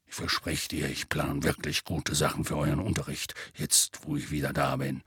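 A man voicing a big, good-natured half-giant in an audiobook, with a gravelly, raspy voice and a hesitant, colloquial drawl. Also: a bass voice, little pitch movement, moderate pace, a bit bright, dry recording, clean studio sound, pitched below the performer's own voice, heavily acted. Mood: tired, reassuring. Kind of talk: audiobook character voice.

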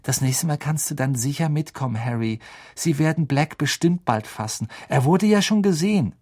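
A narrator voicing a bossy, protective teenage schoolgirl in an audiobook, insistent and quick to scold. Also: mid-range voice, lively intonation, a little mellow, slightly rough. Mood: reassuring. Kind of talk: audiobook character acting.

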